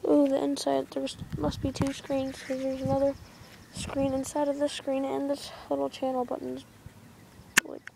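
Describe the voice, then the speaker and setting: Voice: sits high, completely flat delivery, normal speed, gravelly. Someone giving casual, offhand commentary on what they are doing, as an amateur filming outdoors. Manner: offhand, narrating actions as they happen. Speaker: amateur video maker. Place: outdoors in a yard by a street. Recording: noisy amateur camcorder audio.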